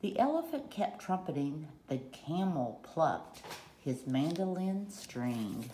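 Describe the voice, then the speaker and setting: A woman reading a children's picture book aloud in short, deliberate rhyming phrases, recorded at a table at home. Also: low voice, sing-song, warm tone. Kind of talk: read-aloud storytelling. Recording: roomy echo, faint background noise.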